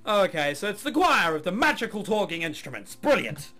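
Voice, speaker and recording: Male let's player, high lively voice, mock-exasperated commentary with faint music underneath. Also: brisk. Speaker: gamer reading and commenting on a visual novel. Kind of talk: let's play narration with sarcastic asides.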